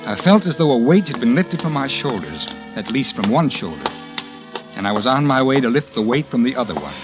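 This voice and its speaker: An actor playing a conceited, cold writer who also narrates, in a 1940s radio drama. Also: male voice, mid-range voice, very animated, a little fast, music in the background, lightly acted. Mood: narrating. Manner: smug, dismissive, curt. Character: cold, conceited man-about-town writer. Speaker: narrator and lead character. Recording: old radio broadcast.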